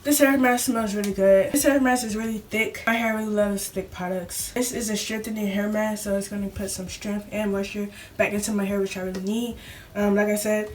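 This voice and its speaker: A woman's voice: mid-range voice, steady tone, darkish tone, distant mic. A young woman with a sore throat talks to her camera in a loose, rambling vlog at home. She sits a little back from the mic, with a faint hiss in the recording. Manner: rambling, talks fast with laughs. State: sore throat, voice a bit deeper. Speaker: vlogger. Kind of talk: casual chatty vlog.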